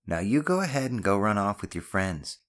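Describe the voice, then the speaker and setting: A caring audiobook mother played by a male narrator, lifted well above his natural pitch. She is gently stern and a little self-conscious, and she chides with plain affection. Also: very animated, brisk. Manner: chiding but affectionate. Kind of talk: audiobook character dialogue.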